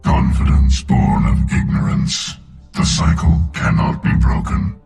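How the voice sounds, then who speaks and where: A man's voice: extremely low pitch, unhurried, creaky, heavily acted. A man playing an ancient, arrogant machine intelligence in a video game, speaking in grandiose, cold, contemptuous declarations. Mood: cold menace. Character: ancient godlike sentient machine, arrogant, menacing destroyer of organic life. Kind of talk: video game villain voice acting. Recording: echoing, loud music behind.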